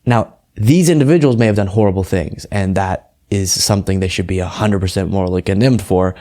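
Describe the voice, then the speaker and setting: Low-voiced American man, earnest political commentary. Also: brisk, warm tone. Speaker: political commentary show host. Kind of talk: casual political commentary, reading translated quotes aloud.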